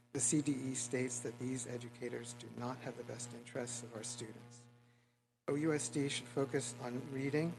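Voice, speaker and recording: A man giving public comment, reading someone else's written statement in a steady way. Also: mid-range voice. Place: public meeting hall. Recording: humming noisy meeting room audio.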